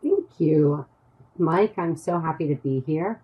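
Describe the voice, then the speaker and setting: A woman's voice: deep voice, unhurried, dark tone, poor audio. A middle-aged woman from Oklahoma, a podcast guest chatting in a friendly, easygoing way. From Tulsa, Oklahoma. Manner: friendly, laughs easily. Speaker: podcast guest, nonprofit founder. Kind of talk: casual interview chat.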